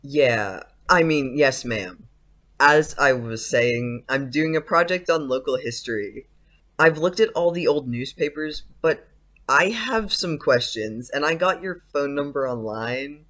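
An actor playing an awkward, earnest teenage student in an audio play. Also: male voice, mid-range voice, lively intonation, unhurried, fairly bright tone. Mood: awkward, hesitant.

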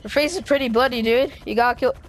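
A high-voiced game player improvising roleplay in short exclamatory phrases, acting out the action. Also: fast, slight background noise, low-quality recording. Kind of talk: dramatic improvised roleplay during gameplay.

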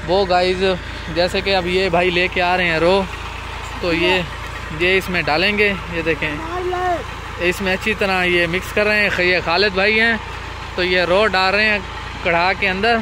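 A young man with a Pakistani Punjabi accent talks in a high, chatty voice, calling his viewers "guys" as he walks them around. He records on a phone held at arm's length outdoors on a farm. A tractor engine drones clearly in the background. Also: fairly even intonation, a little slow, background noise. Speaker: vlogger. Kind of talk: casual walkthrough vlog.

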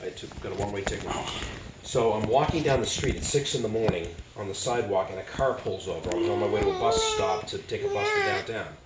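An older man from Boston, a street preacher, tells his conversion story with animated gestures in a small living room. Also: fairly low pitch, quick pace. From Boston, United States. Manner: animated, acts out dialogue with hand gestures. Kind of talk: personal testimony storytelling. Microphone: camera a few feet away. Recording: roomy, distant and noisy home video.

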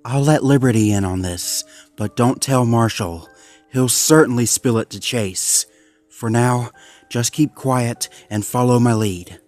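A man's voice: medium pitch, unhurried, darkish tone, dry recording, soft background music, lightly acted. A man voicing a determined young male Labrador dog who talks in an intense, resolute way. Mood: scheming.

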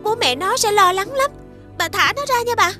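A woman playing a caring, sensible young elder sister, speaking politely and gently in a scripted children's fairy tale. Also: extremely high pitch, lively intonation, normal speed, music in the background. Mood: pleading.

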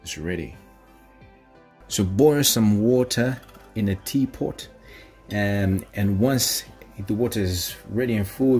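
A man's voice: on the low side, darkish tone. A man in his thirties from Zambia, with a Zambian accent, hosting a home cooking demonstration in a small kitchen. He talks in a chatty, encouraging way, with plenty of fillers and questioning "mm?" tags. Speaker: cooking channel host.

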